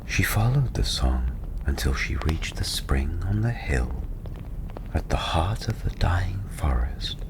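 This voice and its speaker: A man softly narrating a fantasy story in ASMR style, breathy and often half-whispered, soothing and unhurried. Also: extremely low pitch. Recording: steady ambient background noise.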